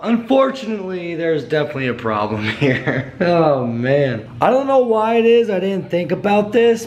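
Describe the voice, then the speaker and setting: A young man with a slightly hoarse voice, walking through a car build in a casual vlog and tossing in dude and bro. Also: a little high, a little mellow. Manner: laid-back slangy, says dude and bro. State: slightly hoarse, losing his voice.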